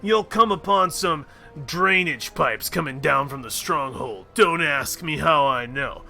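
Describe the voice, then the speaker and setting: A man putting on a gruff, grudging rogue. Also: a little slow, pushed and bright, soft background music, full character voice. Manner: low and drawling, reading game dialogue aloud. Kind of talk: reading game dialogue aloud.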